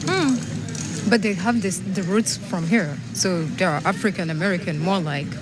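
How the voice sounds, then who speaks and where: A woman's voice: on the low side, normal speed, noisy background. A woman, answering street interview questions thoughtfully and a bit hesitantly. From Rwanda. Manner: thoughtful, hesitant, laughs easily. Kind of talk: casual chat.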